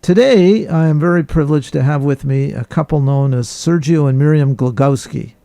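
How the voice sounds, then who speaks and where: A man hosting a radio interview, welcoming listeners and guests in a formal, deliberate way. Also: on the high side, gravelly, mellow, dry recording, clean studio sound.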